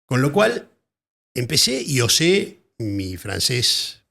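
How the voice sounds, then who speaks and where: An Argentine man with a gravelly, creaky voice at a mid pitch, talking as a writer in a relaxed interview. He speaks thoughtfully, backing up and repeating words while he finds what he means. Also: slow. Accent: Argentine Spanish. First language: Spanish. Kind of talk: casual interview conversation.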